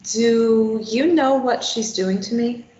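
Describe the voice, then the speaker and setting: A woman's voice: fairly high pitch, takes it slowly, dark tone. A woman reading a sardonic, probing young captive at a play table read, teasing and wry. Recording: echoey room, muffled lo-fi.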